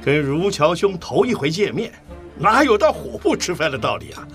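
A man's voice: on the high side, big pitch swings, normal speed, a bit bright, faint music behind. An actor playing a genial, effusive man who plays host, in a Mandarin audio drama. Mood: hospitable. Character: genial, effusive male host welcoming his guests.

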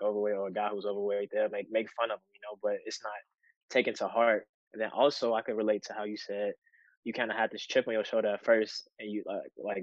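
A young man in his twenties from Chicago, telling a story on a student podcast in a steady, low-key way. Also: no room sound. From Chicago, United States. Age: 20s. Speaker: student guest. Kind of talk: casual podcast conversation. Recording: dry, dull lo-fi sound.

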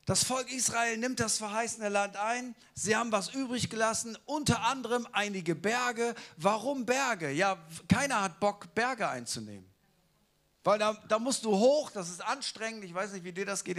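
A man's voice: sits high, a bit bright. A man giving a casual, colloquial sermon who keeps asking the listeners rhetorical questions. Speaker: preacher.

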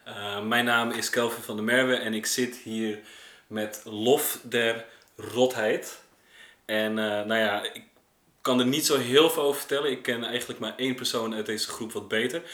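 A man's voice: mid-range voice, fairly even intonation, normal speed, a bit bright. A man hosting a casual, conversational podcast interview. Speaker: podcast host interviewing artists. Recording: echoey room.

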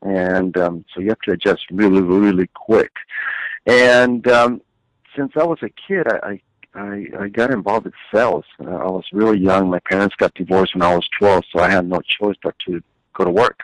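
A middle-aged man from Chile recounts his working life in a relaxed, conversational way. He often stops on fillers and repeats words. His voice is low and sounds dry and close. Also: normal speed, no echo, poor audio. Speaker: guest, business owner. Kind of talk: conversational storytelling.

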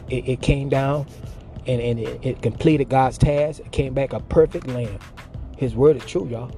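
Impassioned preacher, swooping cries over faint music. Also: male voice, dark tone. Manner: call-and-response preaching, swooping from low murmurs to high cries. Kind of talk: impassioned sermon.

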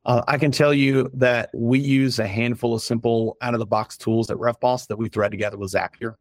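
A slightly hoarse man, a company founder, giving frank, casual advice as a podcast guest and listing his points in a loose conversational way. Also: mid-range voice, fairly even intonation, brisk, no room sound, clean studio sound. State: a little hoarse. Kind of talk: casual business podcast talk.

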